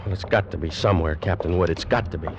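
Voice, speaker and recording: An actor playing a guilt-ridden young seaman who narrates his terror in a grave, deliberate old-time radio style. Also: low-pitched, slight background noise. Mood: anguished. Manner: slow, deliberate, intense dramatic delivery. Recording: vintage radio drama with music behind. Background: dramatic music.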